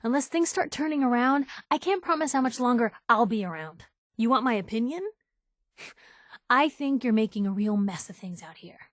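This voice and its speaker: A woman's voice: fairly high pitch, expressive, moderate pace, no echo, clean studio sound, close to an everyday voice. An actress voicing a plucky, principled young woman reporter, a video game companion with sassy, earnest, slangy banter. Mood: disappointed, scolding.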